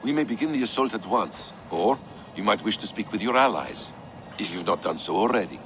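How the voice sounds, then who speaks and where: An actor playing a composed, approving war adviser in a video game cutscene. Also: male voice, on the low side, expressive, a little fast, background noise, lightly acted.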